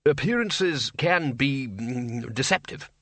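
A man's voice: mid-range voice, lively intonation, slow pace, sharp tone, dry recording, heavily acted. A man playing a bossy head wizard in a storybook, brusque, impatient and blustering. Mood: brisk. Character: irritable, bossy male head wizard of a college.

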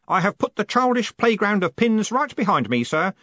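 A man's voice: sits high, very animated, normal speed, sharp tone, dry recording, highs cut off, voice pushed up, exaggerated voice. A man voicing an eager, naive young clerk in an audiobook, earnest, deferential and a bit pedantic. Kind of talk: audiobook character voice.

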